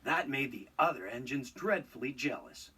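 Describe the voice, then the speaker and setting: A man narrating a children's read-along storybook in an expressive, scripted storytelling style. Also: mid-range voice, normal speed, husky, recorded from a distance.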